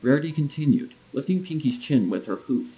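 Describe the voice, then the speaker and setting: A man's voice: medium pitch, lively intonation, brisk, mellow, low-quality recording. A man reading scripted story narration as the narrator of a tale.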